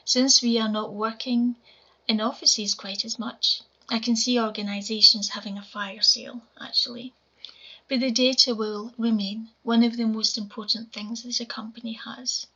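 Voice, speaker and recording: A woman in her forties with a Scottish accent and a quiet voice, giving a measured conference talk from home over a webcam. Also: on the high side, little pitch movement. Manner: measured, explanatory presenting. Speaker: conference speaker. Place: home room, indoors. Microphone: webcam video call. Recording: dull lo-fi webcam audio.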